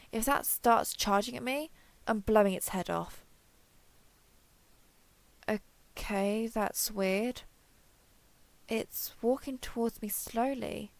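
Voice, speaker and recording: A woman voicing an excitable, wary alien explorer, thinking aloud in a wry, hesitant internal monologue for an audio roleplay. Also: a little high, creaky. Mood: wary, tense. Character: excitable, wary female alien explorer with blue-grey skin. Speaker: voice actor.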